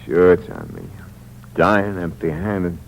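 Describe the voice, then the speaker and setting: An actor playing a young criminal who has been shot and is dying, speaking weakly and haltingly in a 1950s radio drama. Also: male voice, wildly swooping pitch, creaky, dull audio, a little hiss or hum, full character voice. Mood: bitter. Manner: weak, low, faltering speech.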